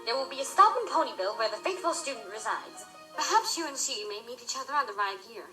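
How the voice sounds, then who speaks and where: A woman's voice: fairly high pitch, expressive, fast, sharp tone, recorded from a distance. A woman playing a regal, commanding pony princess in an audio drama, speaking in formal, declamatory style over music. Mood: stately. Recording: music behind, played in reaction video.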